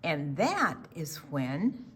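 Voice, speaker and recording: A woman reading a children's picture book aloud in an expressive storytime style. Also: deep voice, very slow, slight background noise.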